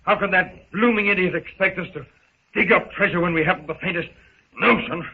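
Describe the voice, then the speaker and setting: An actor playing an indignant, hot-headed gentleman, exasperated and blustering, in a 1930s radio serial. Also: sits high, fairly quick, slightly rough. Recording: tinny narrow 1930s radio recording.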